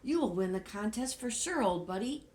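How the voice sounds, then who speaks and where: A woman's voice: mid-range voice, animated, normal speed, darkish tone, voice pushed up, close to an everyday voice. A woman voicing a supportive, upbeat male best buddy in a storybook, with an encouraging, emphatic manner.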